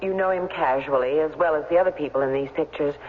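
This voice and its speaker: A woman's voice: deep voice, quick pace, natural voice. An actress in a 1970s radio drama playing an anxious but resolute captive woman, speaking with guarded urgency. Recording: dull, noisy 1970s radio recording.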